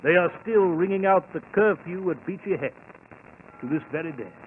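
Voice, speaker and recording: An actor playing a blustery, loyal gentleman doctor in a vintage radio drama, speaking with an English accent and old-fashioned bluster. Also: sits high, expressive, normal speed. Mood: genial, storytelling. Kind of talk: 1940s radio acting. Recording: crackly, narrow-band old radio recording.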